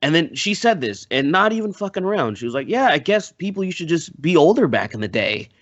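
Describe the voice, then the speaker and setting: Very animated man, dry muffled sound. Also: on the high side, dry recording. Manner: profane, halting storytelling. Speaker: podcast panelist. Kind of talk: casual anecdotal chat. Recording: dry, slightly dull close audio.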